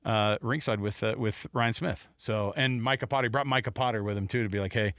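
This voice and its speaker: A man's voice: on the low side, fairly quick, fairly bright tone, no room sound, studio quality. A man hosting casual sports talk radio, chatty and repeating himself for emphasis.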